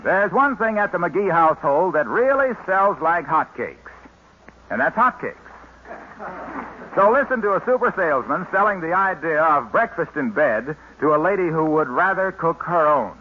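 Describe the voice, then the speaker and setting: A man's voice: a little high, very animated, normal speed, a little hiss or hum. A man announcing a 1950s radio show and reading its sponsor ad in an upbeat, hard-selling way. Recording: muffled 1950s radio broadcast.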